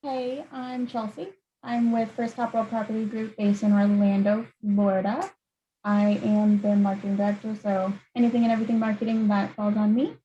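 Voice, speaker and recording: A woman introducing herself as a marketing director on a video call, relaxed and brief. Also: mid-range voice, moderate pace. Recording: dull audio with faint background noise.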